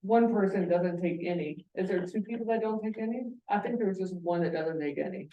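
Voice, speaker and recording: A woman's voice: mid-range voice, a little fast, warm tone, muffled recording. A woman with a Southern US accent discussing a draft in a committee meeting, self-deprecating and repeating herself. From American South. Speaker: committee member drafting the report. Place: county meeting room. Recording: echoey meeting room, far mic.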